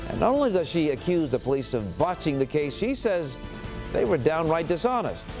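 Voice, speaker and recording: A man's voice: fairly high pitch, very animated, normal speed, music in the background, low-quality recording. A man with an American accent reading local television news as an anchor.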